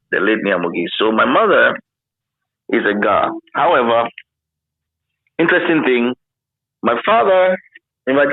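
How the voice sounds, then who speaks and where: A man's voice: lowish voice, dry recording. A man in his fifties with a Ghanaian accent, reminiscing in a casual interview and often repeating phrases for emphasis. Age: 50s. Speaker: interview guest, former radio pioneer. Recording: narrow phone-like line.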